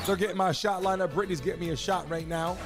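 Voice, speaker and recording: A high-voiced man hosts a sports livestream with loud, excitable energy. He shouts out viewers and stretches his catchphrases, with music and game sound behind him. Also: quick pace. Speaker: livestream sports show host. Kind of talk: hyped livestream chat, calling out viewers and asking for subscribers and likes.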